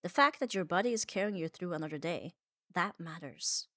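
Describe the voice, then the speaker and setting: A woman's voice: on the low side, lively intonation, pushed and bright, no echo. Soothing woman, close and dry. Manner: reassuring, soothing, unhurried. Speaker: ASMR audio creator. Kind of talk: calm ASMR comfort monologue.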